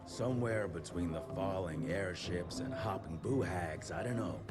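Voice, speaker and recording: An actor playing a gruff, seasoned older cowboy fighter in a video game, with folksy, plain-spoken delivery. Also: male voice, low-pitched, moderate pace, natural voice. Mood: grave. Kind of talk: video game cutscene acting. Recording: loud music behind. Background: loud music.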